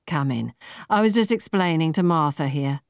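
A woman's voice: sits low, animated, no echo, clean studio sound. Female managing director in a novel, brusque, formal, self-important, voiced by a woman narrator. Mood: brisk, dismissive. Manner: brisk, condescending, formal.

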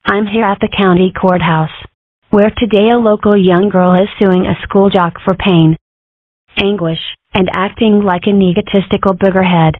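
A woman's voice: mid-range voice, fairly even intonation, moderate pace, no room sound, natural voice. A woman voicing wry female foxes in a comic strip dub, with a dry, stilted reading. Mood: newsy. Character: wry young fox girl and her fox mother. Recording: muffled, narrow phone-like sound, close and dry.

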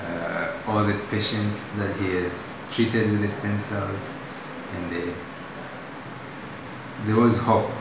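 Young Tanzanian man, lowish voice, unscripted patient testimonial, hesitant, recorded on a phone in a very echoey hospital room with noise behind. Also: fairly even intonation. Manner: halting, with restarts and fillers.

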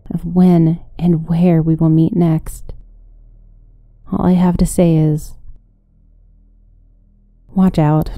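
Woman reading a creepy story in first person, really dark soft-edged tone, close dry sound. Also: sits low, dry recording. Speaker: guest story narrator. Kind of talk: scripted first-person horror story narration.

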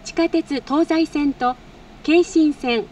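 A woman's voice: high-pitched, slow pace, a little mellow, slight background noise. A woman giving a polite, formal scripted train announcement, heard through the speakers inside a train car. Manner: polite, formal, practised delivery. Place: train car, over public-address speakers. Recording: recorded off an in-car speaker, slightly distant.

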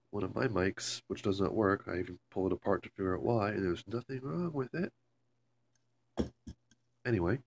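A man chatting casually and rambling, with swearing, in a homemade vlog recorded on a phone in a carpeted room. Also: fairly low pitch. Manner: foul-mouthed, rambling. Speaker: amateur video maker. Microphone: handheld phone camera. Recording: home phone recording with loud hum.

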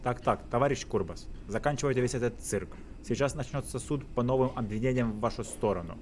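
A man's voice: medium pitch, quick pace, a little mellow, lightly acted. Man playing a curt Soviet official, noisy audio. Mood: stern, mocking. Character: Soviet official, curt, impatient, pronouncing verdicts in Russian. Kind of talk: visual novel voice acting.

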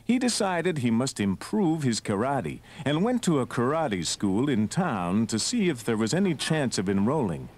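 A man's voice: mid-range voice, very animated, moderate pace, hardly any pauses, a little hiss or hum. A man reading scripted narration for a read-along children's story. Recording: narration from an old cassette. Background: loud music.

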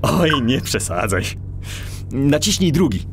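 An actor playing a helpful, proud but cautious animal inventor in a children's audio drama. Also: male voice, medium pitch, sing-song, slow, soft background music. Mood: calm, proud.

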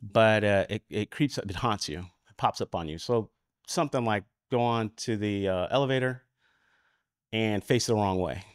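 American man, conference speaker, lowish pitch, dry close sound, informal explaining, halting phrasing. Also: clean tone, no echo. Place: conference room at a lectern.

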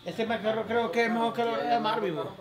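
A man's voice: very high-pitched, fairly quick, a crowd in the background. A man, a guitarist, joking and arguing about his part in a studio session. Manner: joking, animated. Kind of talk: casual studio banter. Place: dim recording studio. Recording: roomy studio with music behind.

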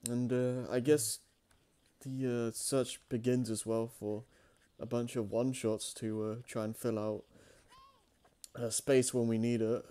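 A man's voice: medium pitch, little pitch movement, a little slow, warm tone, clean tone. A man co-hosting a show in casual, unscripted chat, with a hesitant, rambling way of talking. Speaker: co-host of a fan fiction reading show.

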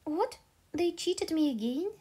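A woman's voice: very high-pitched, expressive, a little slow, warm tone. A woman voicing a young housekeeper girl, perky, indignant and scheming, in a manga dub. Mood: outraged.

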